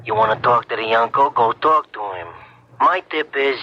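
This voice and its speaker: A man's voice: medium pitch, normal speed, pushed and bright. An actor playing a menacing mob henchman in a 1970s radio drama, speaking in curt phrases through a telephone effect. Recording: dull radio recording, telephone filter.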